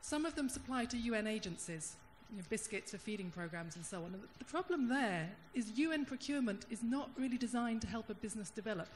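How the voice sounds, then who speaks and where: A woman's voice: a little high, lively intonation. Woman in a conference audience, thoughtful and searching, handheld mic, roomy hall reverb with a faint hum. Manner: thoughtful, searching, with pauses and restarts. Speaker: audience member at a panel. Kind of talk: spontaneous audience comment. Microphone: handheld microphone.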